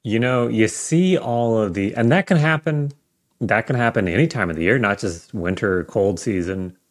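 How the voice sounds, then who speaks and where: A man's voice: on the low side, lively intonation, normal speed. A male podcast co-host in casual chat, giving short reactions and then laying out an idea with some animation.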